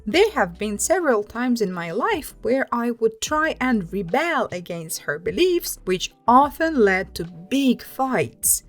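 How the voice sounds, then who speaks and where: High-pitched, lively woman reading stories. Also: unhurried, few pauses, faint music behind. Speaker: host reading online stories aloud. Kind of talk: scripted story narration.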